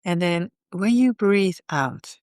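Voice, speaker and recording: A woman leading a guided meditation in a soothing, unhurried way, often pausing in the middle of phrases. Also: fairly low pitch, lively intonation, darkish tone, no room sound, clean studio sound.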